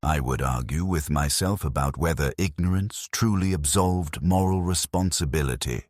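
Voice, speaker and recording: A man narrating a first-person story in a grave, measured, scripted delivery. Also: extremely low pitch, dry recording, clean studio sound.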